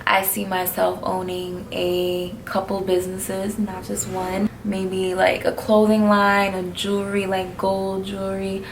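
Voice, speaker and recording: A woman's voice: medium pitch, completely flat delivery, measured pace, hardly any pauses, a little hiss or hum. A twenty-one-year-old woman from New City, answering viewer questions in a blunt, slangy, laid-back vlog. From New York City. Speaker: vlogger. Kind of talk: casual Q&A vlog.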